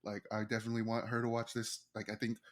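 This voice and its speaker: Excitable, self-deprecating podcast man. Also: lowish voice, fast talker. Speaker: podcast co-host. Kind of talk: casual chat.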